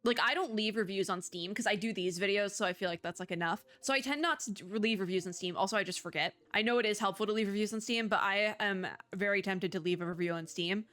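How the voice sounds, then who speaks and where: A woman's voice: mid-range voice, fast, few pauses, fairly bright tone. A woman talking casually and off the cuff as a video game host, in a chatty, rambling, informal way. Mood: annoyed. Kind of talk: casual unscripted game commentary.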